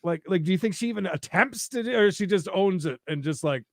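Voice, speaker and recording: A man's voice: high-pitched, expressive, a bit bright, no room sound, clean studio sound. A man co-hosting a court commentary live stream, speaking emphatically and casually and often restarting his sentences. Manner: emphatic, repeats himself, halting restarts.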